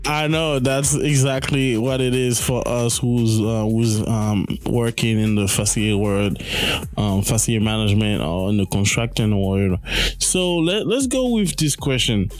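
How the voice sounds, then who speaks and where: Podcast host man, faint music behind. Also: medium pitch. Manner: halting questions, frequent affirmations. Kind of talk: podcast interview.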